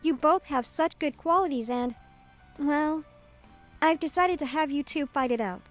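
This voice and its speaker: Actress as young female love interest, higher-pitched, indecisive, visual novel game, light music behind. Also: a little slow. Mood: apologetic, hesitant. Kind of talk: visual novel character acting.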